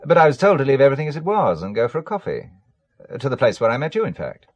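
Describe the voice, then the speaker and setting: A man's voice: mid-range voice, big pitch swings, rapid-fire, no room sound, lo-fi sound. An actor playing a wry, secretive middle-aged businessman in a radio drama, dryly evasive and making light of things.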